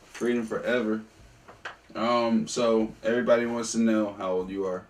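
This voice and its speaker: A man hosting a skate podcast, talking in hyped slang and calling out shout-outs to friends. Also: fairly low pitch, little pitch movement, normal speed. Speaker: podcast host. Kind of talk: casual chat. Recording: distant mic with clear background noise.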